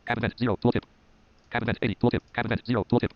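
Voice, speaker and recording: Very fast robotic male screen reader. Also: medium pitch, little pitch movement. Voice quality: robotic, clipped. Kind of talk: synthetic text-to-speech announcements of interface labels. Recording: lo-fi with loud background noise, beeps.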